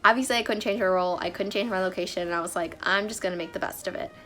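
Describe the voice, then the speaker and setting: A woman's voice: fairly low pitch, very fast, bright, forceful tone, soft background music. A young woman vlogging casually, sounding a bit nasal from a cold, chatty with frequent fillers. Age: young adult. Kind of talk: casual vlog talk.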